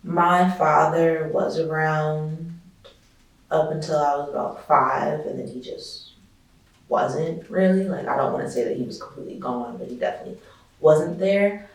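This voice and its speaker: A young adult picks their words hesitantly while reflecting on the past. The voice is mid-pitched with a gravelly fry. It's recorded from a distance in a strongly reverberant room. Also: normal speed. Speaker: interviewee. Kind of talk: reflective documentary interview. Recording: distant mic in a very echoey room.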